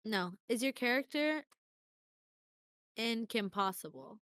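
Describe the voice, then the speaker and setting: A young woman co-hosting a podcast, chatting casually and teasing her partner with quick wit. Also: on the high side, animated, fairly bright tone, no room sound, studio quality. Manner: teasing, quick-witted banter. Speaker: podcast co-host. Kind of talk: casual chat.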